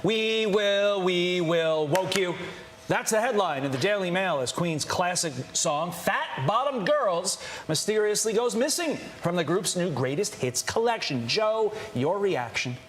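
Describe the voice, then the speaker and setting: A man's voice: high voice, lively intonation, measured pace, a bit bright. An American man hosting a live morning news show in a chatty style. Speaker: TV news host.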